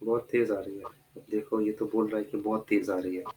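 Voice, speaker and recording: A male teacher giving an online class with a slight cold that makes him a bit hoarse. He speaks patiently, calls his students "beta" and repeats key terms. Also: on the low side, flat delivery, a little fast, warm tone, slight background noise, low-quality recording.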